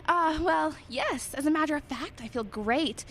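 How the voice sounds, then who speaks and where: A woman's voice: sits high, animated, measured pace, fairly bright tone, exaggerated voice. A woman playing a bewildered, easily embarrassed churchgoer in a radio drama.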